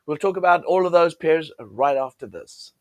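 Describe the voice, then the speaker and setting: A man with a cold talks through trading charts in a loose, chatty way, stumbling over fillers and restarts. His voice sits fairly high and sounds a little croaky. The recording is dry and close. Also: moderate pace, no echo. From United States. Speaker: forex trading show host. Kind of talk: casual chart commentary.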